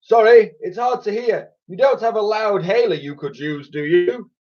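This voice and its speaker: A man voicing an overly polite, pompous male naval captain calling over a loudhailer. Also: high-pitched, moderate pace, voice pushed up, lightly acted. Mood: fussy polite. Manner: overly polite, keeps asking to repeat. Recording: lo-fi recording.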